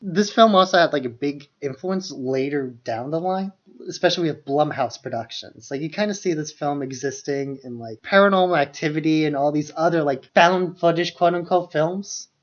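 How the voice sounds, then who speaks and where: A man's voice: a little high, normal speed, muffled recording. A man co-hosting a podcast, discussing films casually in an informal way peppered with "like". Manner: informal, hedging with many "like"s. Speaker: podcast co-host.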